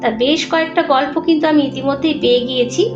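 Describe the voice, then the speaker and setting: A woman's voice: sits high, fairly even intonation, a little fast, music in the background. A woman storyteller narrating a horror story in a dramatic style. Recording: roomy, dull sound with clear noise.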